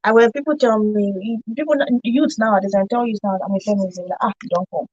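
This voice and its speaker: Nigerian lady on radio panel, talks fast, casual, slightly muffled dry audio. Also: mid-range voice, a little mellow, dry recording. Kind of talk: casual studio discussion. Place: radio studio.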